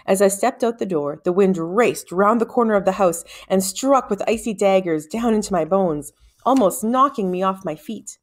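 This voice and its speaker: A woman narrating a story aloud in an expressive, deliberate storybook style with dramatic phrasing. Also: mid-range voice.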